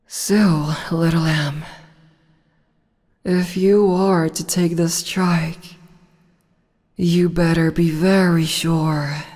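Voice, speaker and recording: A woman voicing a commanding, teasing demon empress in an ASMR audio roleplay, speaking in slow, low whispers. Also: mellow, big-room sound. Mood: menacing whisper. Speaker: voice actress.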